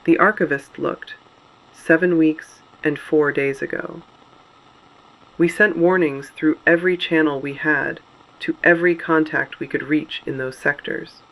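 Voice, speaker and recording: Man acting as resistance broadcast announcer, creaky voice, slightly high for a man, solemn, deliberate, guarded, scripted dystopian narration. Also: steady tone, a bit bright, rough recording. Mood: grave. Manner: deliberate, solemn, short declarative sentences. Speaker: broadcast host.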